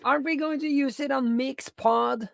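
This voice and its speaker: A woman's voice: high voice, steady tone, moderate pace, gravelly, dry recording, studio quality. A woman co-hosting in a casual way, chipping in with short remarks and questions. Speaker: co-host relaying chat questions. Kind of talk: casual interjections.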